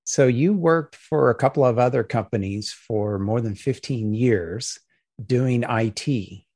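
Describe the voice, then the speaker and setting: A man hosting an interview podcast, speaking in a measured way and asking structured questions. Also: medium pitch, a little mellow, no room sound, studio quality. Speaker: podcast host.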